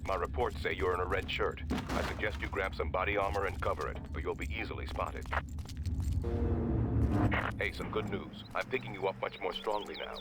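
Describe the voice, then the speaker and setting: A man's voice: low-pitched, brisk, heavy background noise, natural voice. A man voicing a composed, urgent insider ally who gives matter-of-fact instructions in a video game. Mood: calm briefing. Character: male insider ally guiding a fugitive by radio, composed, urgent. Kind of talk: video game cutscene voice acting.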